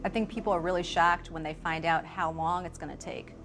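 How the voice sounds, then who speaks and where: A woman's voice: fairly low pitch, steady tone, brisk, fairly bright tone, a little hiss or hum. A woman immigration lawyer explaining things conversationally in an interview.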